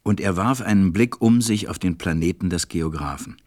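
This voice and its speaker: A man with a deep, low-pitched voice narrates a radio play. He reads scripted storybook prose as the narrator of the tale. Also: normal speed.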